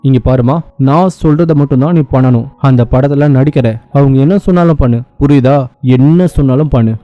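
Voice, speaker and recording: A man's voice: medium pitch, brisk, no room sound, pitched below the performer's own voice, natural voice. A man voicing a domineering, threatening mother of an actress, commanding and insistent, in a story recap. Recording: muffled lo-fi sound with music behind.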